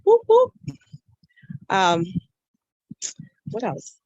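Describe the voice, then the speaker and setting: Woman, 20s, podcast guest; slow, candid and halting; dry, lo-fi remote-call sound. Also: medium pitch, huge pitch swings, darkish tone, breathy edge, no room sound. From Bahamas. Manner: hesitant and candid, with many pauses. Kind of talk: personal storytelling in conversation.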